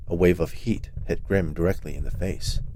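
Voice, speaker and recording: A man's voice: low voice, moderate pace, warm tone, slight background noise. A man reading a dramatic storybook tale as its narrator. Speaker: author reading own story.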